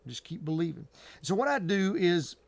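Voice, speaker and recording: A man talking casually and earnestly to viewers as an advice host, in a halting, repetitive, colloquial way. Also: sits high, big pitch swings, creaky, no echo.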